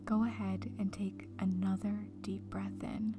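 A woman speaks very softly and breathily, often close to a whisper, as she guides a meditation. Her pace is slow and calm, with long gentle pauses, and clear music plays behind her. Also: medium pitch. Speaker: meditation guide, breakup coach.

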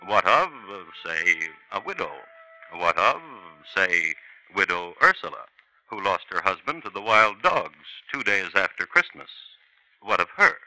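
A man hosting a vintage crime radio show, narrating with wry theatrical flair. His voice sits low and moves expressively. Music plays under the old broadcast. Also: sing-song, unhurried, pushed and bright, no echo, low-quality recording. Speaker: host narrator. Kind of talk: dramatic 1950s radio narration.

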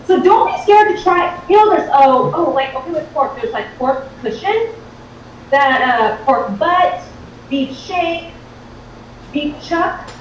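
A woman in her thirties chatting excitably and informally as she hosts a live cooking demonstration. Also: high-pitched, takes it slowly. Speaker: host of a live cooking show. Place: home-style kitchen, indoors. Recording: very echoey, distant, dull, faint noise.

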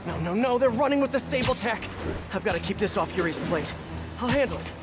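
An actor playing an earnest, guilt-ridden young masked superhero in a video game, talking to himself with quick quips. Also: male voice, sits high, lively intonation. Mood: urgent, alarmed. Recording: loud music under the voice.

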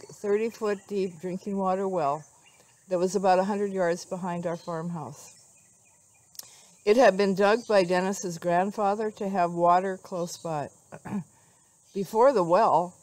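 An older woman giving a devotional talk and scripture reading in a measured, reflective way. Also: medium pitch, darkish tone, dry recording, rough recording. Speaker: devotional speaker. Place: outdoors among trees.

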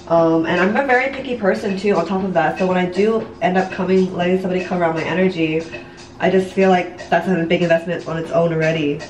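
A woman in her late twenties chatting candidly and casually to her viewers, rambling a little as she vlogs. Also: fairly low pitch. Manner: candid, rambling, frequent "like" and "you know", some swearing. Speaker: vlogger and online business coach. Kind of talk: casual confessional chat to viewers while doing makeup. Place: bathroom at home. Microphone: camera a step or two away. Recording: echoey room, distant camera mic.

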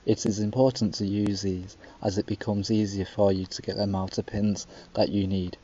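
A man's voice: lowish voice, flat delivery, normal speed, mellow, husky. A young man reading scripted narration for a student documentary in a stiff, instructional way. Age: young adult. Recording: dry dull lo-fi voice-over.

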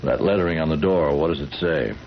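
An actor playing a calm, probing sheriff in a 1970s radio drama, folksy and matter-of-fact, on a muffled old recording. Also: male voice, a bass voice, brisk, vocal fry, slight background noise. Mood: grave, matter-of-fact.

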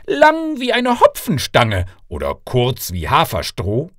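A man's voice: medium pitch, wildly swooping pitch, takes it slowly, fairly bright tone, no echo, clean studio sound, pitched above the performer's own voice, full character voice. Man playing an emphatic, swooping fairy-tale king. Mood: doting, expansive. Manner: declamatory, emphatic. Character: doting, eager old king and father, growing impatient.

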